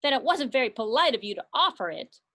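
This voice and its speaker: A woman's voice: sits high, very animated, quick pace, bright, forceful tone, voice pushed up, full character voice. A woman voicing a polite, prim little girl who is easily offended, in a library puppet show.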